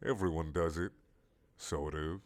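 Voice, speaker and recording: A man voicing a huge male giant squid, smooth-talking and domineering, in a hushed, persuasive, unhurried way for a comic story. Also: a bass voice, fairly even intonation, dry recording, studio quality, voice pushed down. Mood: coaxing.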